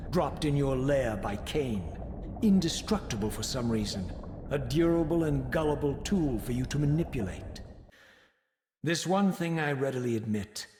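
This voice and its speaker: An actor playing a tormented undead wraith in a gothic fantasy video game, proud, bitter and defiant, with eloquent, theatrical, brooding delivery. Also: male voice, mid-range voice, darkish tone, natural voice. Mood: bitter, questioning. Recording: echoing cavernous reverb.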